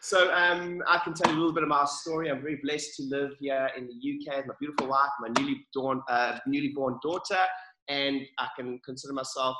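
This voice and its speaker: A man's voice: mid-range voice, level tone, a little fast, roomy sound, background noise. A man with a South African accent, talking as an enthusiastic, upbeat podcast guest. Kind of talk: casual interview.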